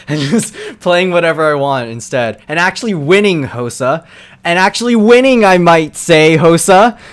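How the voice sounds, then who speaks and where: A young man answering viewers' questions in a relaxed, rambling live-stream chat, full of fillers and quick laughs. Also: fairly high pitch, smooth.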